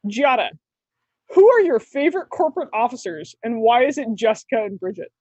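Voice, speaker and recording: A woman co-hosting a casual chat, brisk, blunt and profane. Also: fairly high pitch, sing-song, darkish tone, no room sound. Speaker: podcast co-host. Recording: dull lo-fi mic.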